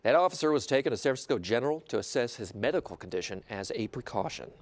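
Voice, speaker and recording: A man's voice: mid-range voice, animated, quick pace. A man with an American accent reading scripted news as a television anchor.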